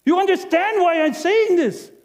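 A man performing a greedy, self-justifying innkeeper in a first-person monologue, swinging between loud bluster and hushed confession. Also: mid-range voice, big pitch swings, moderate pace. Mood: flustered. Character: greedy self-justifying male Bethlehem innkeeper, middle-aged. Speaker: storyteller performing a character monologue.

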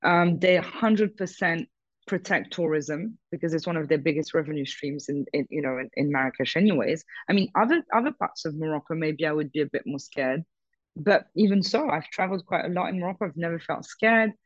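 A woman's voice: low voice, fairly quick, no room sound, lo-fi sound. Frank, emphatic businesswoman in an interview. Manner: frank and emphatic, repeats "totally" and "hundred percent". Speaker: guest, businesswoman. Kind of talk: casual interview conversation.

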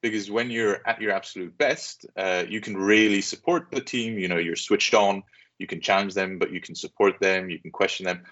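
A young man from Switzerland who grew up in Southeast Asia, talking casually and a little haltingly as a podcast guest. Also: sits low, a bit bright. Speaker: podcast guest, business leader. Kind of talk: casual interview chat. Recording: dull, muffled lo-fi sound.

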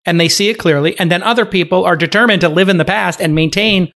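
A man's voice: high voice, quick pace, vocal fry, fairly bright tone. Enthusiastic chatty podcast host man. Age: 40s. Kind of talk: casual interview talk.

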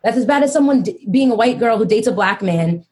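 A woman hosting a podcast, speaking earnestly and slowing down to stress her points. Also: on the high side, animated, darkish tone. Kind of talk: casual podcast discussion.

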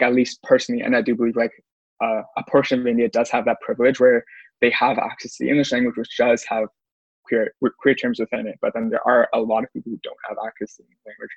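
A man speaking quickly and hesitantly in a casual discussion, full of fillers and restarts. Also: mid-range voice, level tone, breathy edge, no echo. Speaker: panel speaker. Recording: dull, dry recording.

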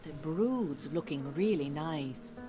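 A woman playing a firm, measured beekeeping teacher in an audio drama, giving instructions. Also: low voice, expressive, music in the background. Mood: quietly pleased.

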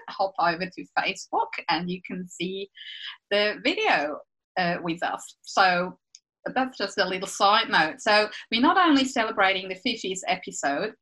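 Swiss accent woman in her 50s chatting on a podcast, bright voice, really animated pitch. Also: rough recording. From Switzerland. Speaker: podcast host. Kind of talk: casual podcast chat.

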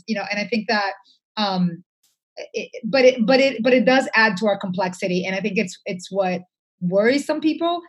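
A woman's voice: medium pitch, slightly rough. A woman speaking as an interview guest, conversational, reflective and earnest, with emphasis on her points.

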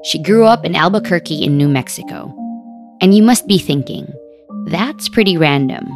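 A woman co-hosting a podcast, reading scripted narration in a smooth, measured storytelling way. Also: on the low side, lively intonation. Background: music bed.

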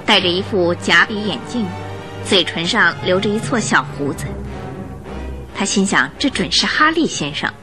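A woman reading scripted story narration for a radio drama in an expressive way. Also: medium pitch.

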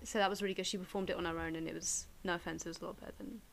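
A woman's voice: on the low side, steady tone, very fast, gravelly, slight background noise. A young woman, chatting on a podcast in a rambling way full of fillers. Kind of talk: casual chat.

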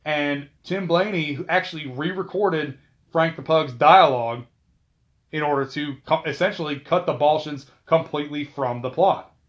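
A man casually talking through film trivia, chatty, with asides and quick self-corrections. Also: on the high side, dull audio. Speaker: video host.

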